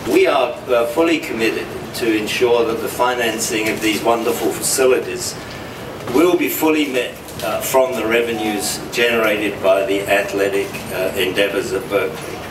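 A man's voice: lowish voice, normal speed. A man speaking hesitantly with fillers at a press conference. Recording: echoey room from far off, noisy.

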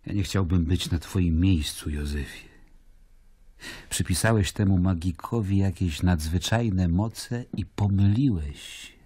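An actor playing a stern, sceptical Roman governor in an audio drama, measured and ironic as he questions and narrates. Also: sits low, dark tone, breathy edge, no room sound, studio quality. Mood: stern, probing. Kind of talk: literary audio drama acting.

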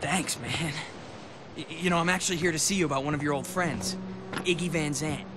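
A man playing an eager, naive young skater in a video game, casual and excitable. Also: fairly high pitch, brisk, background noise, lightly acted. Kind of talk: video game cutscene acting.

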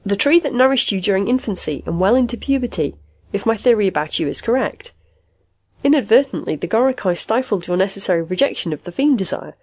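A woman's voice: medium pitch, lively intonation, fast talker, darkish tone, close to an everyday voice. A woman voicing a condescending therapy machine in an audiobook, formal and patiently probing, full of clinical jargon. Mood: clinical, assured.

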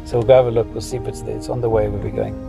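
A man's voice: fairly low pitch, little pitch movement, rapid-fire, creaky. A man in his fifties with a Zimbabwean accent, telling personal stories in a casual, explanatory way as a vlogger. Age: 50s. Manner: reminiscing, explanatory. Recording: music behind the voice.

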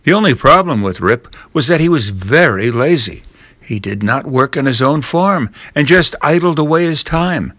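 A man tells a storybook tale in a measured manner. His voice sits a little high for a man, and the sound is close and dry, with hardly any room on it. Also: expressive, fairly bright tone, no room sound. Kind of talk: scripted storybook narration.

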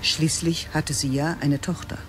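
A woman narrating a radio play in a calm, measured way. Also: deep voice, music in the background.

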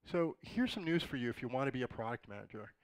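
A middle-aged man with a slightly raspy voice, giving a casual conference talk with joking asides to the audience. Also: mid-range voice, big pitch swings, quick pace, no echo, rough recording. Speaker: conference speaker. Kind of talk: conversational lecture. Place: dark auditorium, in front of a projected slide.

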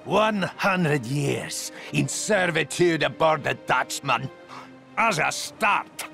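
A man's voice: on the high side, expressive, gravelly, pushed and bright, soft background music, exaggerated voice. A man playing a vengeful, menacing sea-monster captain of a ghost ship in a video game, snarling and drawing out his words. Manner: snarling, drawn-out. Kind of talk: video game cutscene acting.

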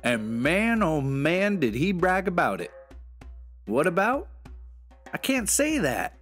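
A man telling a folksy scripted story as a storyteller, with drawn-out, animated delivery. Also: sits high, faint music behind.

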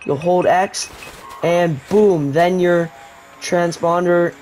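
A man giving a casual, rambling voice-over tutorial for a survival video game, explaining as he plays. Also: fairly high pitch. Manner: relaxed, rambling explanation with restarts. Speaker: gaming YouTuber.

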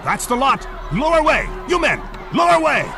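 An actor playing a clipped, authoritative ship's officer in an audio drama. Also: male voice, very high-pitched, big pitch swings, moderate pace, gravelly, raised voice, over music, full character voice. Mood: urgent, commanding. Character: urgent, commanding ship's officer at an evacuation. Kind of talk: full-cast audio drama acting.

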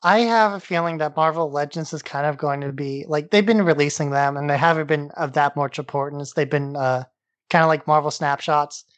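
A man's voice: fairly high pitch, a little fast. A man co-hosting a podcast, chatting casually about comics and peppering his sentences with "like". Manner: peppers speech with "like". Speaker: podcast co-host. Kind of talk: casual comic book discussion.

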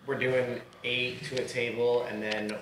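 A man's voice: mid-range voice, flat delivery, unhurried, fairly bright tone. A man hosting a podcast, explaining event details matter-of-factly from off-mic. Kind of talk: casual chat. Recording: echoey, off-mic, noisy.

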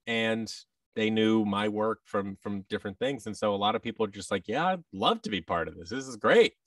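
A man in his thirties with an American accent, chatting casually in an interview with halting, restarted phrases. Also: fairly low pitch, expressive, a bit bright, no room sound, distant mic. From United States. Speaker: guest, voice actor and audio drama producer. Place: large office room, indoors. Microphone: headset with boom-arm microphone on a video call.

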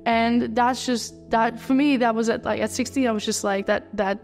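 A young Dutch woman with a Dutch accent, answering interview questions in a casual way, talking quickly and tripping over her words with many restarts. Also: fairly high pitch, steady tone, in a large hall, soft background music. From Netherlands. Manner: fast talker who trips over words, many restarts and fillers. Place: living-room set with lamp and window, indoors.

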